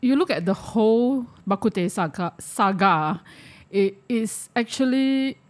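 A woman with a Malaysian accent giving opinions in a casual discussion, halting and repeating words as she argues. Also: medium pitch, animated, a little mellow. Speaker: panelist.

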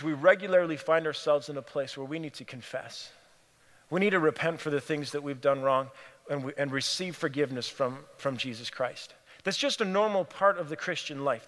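A man's voice: fairly high pitch, a bit bright. A man from Cameroon preaching a sermon in an earnest way, repeating phrases for emphasis. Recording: long hall reverb.

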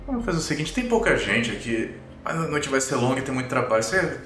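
A man with a Brazilian accent voicing a pushy, curt office boss in a tabletop game, halting and insistent. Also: medium pitch, very animated, fairly bright tone, slight background noise. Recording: roomy echo, music underneath.

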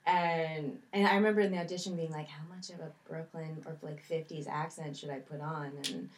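A woman's voice: sits low, steady tone, a little fast. A woman being interviewed as a guest in a studio, telling stories in a chatty, light, self-deprecating way. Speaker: actress guest. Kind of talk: casual interview chat. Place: recording studio.

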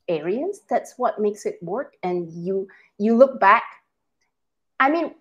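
Low-pitched woman, earnest and steady, speaking in a team chat. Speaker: team member.

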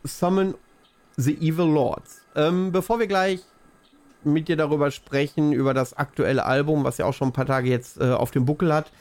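Higher-voiced man hosting a podcast. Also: fairly even intonation, moderate pace, a little mellow. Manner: rambling questions with fillers. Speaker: podcast host. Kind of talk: interviewing, casual talk.

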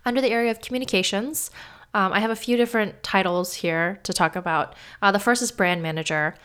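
A woman hosting a solo podcast, explaining things in a clear, measured way, her voice gravelly from a lingering cough. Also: mid-range voice, fairly bright tone. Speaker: podcast host.

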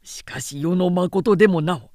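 A woman's voice: fairly low pitch, a little slow, a little mellow, no echo, studio quality, natural voice. A woman voicing a commanding, scheming warlord in an audiobook, brooding in a weighty mutter. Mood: pensive admiration. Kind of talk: audiobook character acting.

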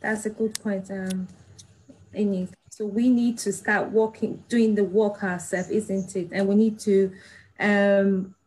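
A woman's voice: mid-range voice, little pitch movement, slow pace, a little mellow, low-quality recording. A woman moderating an online discussion, acknowledging speakers and rephrasing their points.

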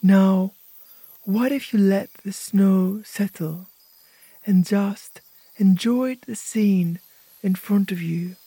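A woman narrating a guided imagery meditation as host, speaking gently in short, hushed phrases with pauses. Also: medium pitch, slightly rough, no echo.

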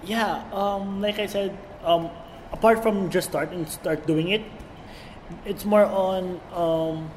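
High-voiced young Filipino man, reverberant room. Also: fairly even intonation, slow pace. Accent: blended international English. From Filipino raised in Saudi Arabia. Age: young adult. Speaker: podcast guest, YouTuber. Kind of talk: casual chat. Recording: long hall reverb, faint background noise.

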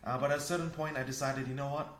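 A man from Fiji talks as a guest on a podcast. His voice sits at a middle pitch and he explains himself in a reflective, conversational way. The sound is roomy, with noticeable echo around the voice. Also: steady tone, rapid-fire, a bit bright. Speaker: podcast guest, consultant and coach. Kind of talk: conversational interview.

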